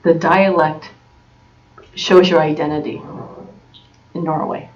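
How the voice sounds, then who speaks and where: A woman's voice: sits low, fairly even intonation. A woman from the United States giving an informal talk to an audience, full of fillers and restarts. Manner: halting, many fillers and restarts. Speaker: presenter. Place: conference room. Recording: dull recording from far off.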